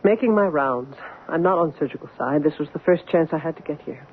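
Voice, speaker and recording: An actress playing an anxious, guilt-ridden doctor, earnest and emotional in an old-time radio drama style. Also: female voice, low-pitched. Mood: controlled, explaining. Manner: earnest, emotional, halting when distressed. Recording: tinny, hissy old radio broadcast.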